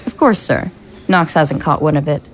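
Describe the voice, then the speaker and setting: A woman acting as a shrewd female deputy to a ruler, with a low voice. She briefs in a hard-nosed, businesslike way, as in a political radio play. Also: expressive, normal speed, soft background music. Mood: measured. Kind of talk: audio drama acting.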